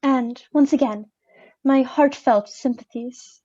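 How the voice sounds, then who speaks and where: A woman's voice: high voice, slow pace, mellow, slight background noise. A woman playing a falsely sympathetic mourner in a radio play, offering pointed condolences, on a dull stage recording. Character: insinuating, falsely sympathetic female mourner named Helen.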